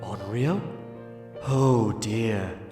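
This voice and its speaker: A man's voice: on the low side, extremely slow, over music. A man playing a wry, mocking, lonely spirit who narrates an audio drama in a theatrical manner. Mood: wry, grave.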